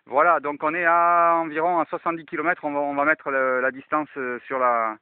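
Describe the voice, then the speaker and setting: A man's voice: fairly high pitch, flat delivery, fairly quick, clean tone, no room sound. A man casually presenting a radio demonstration as an enthusiast, mixing explanations to viewers with radio call-outs. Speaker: amateur radio enthusiast and video host. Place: outdoors in open countryside. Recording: thin, phone-like band-limited sound.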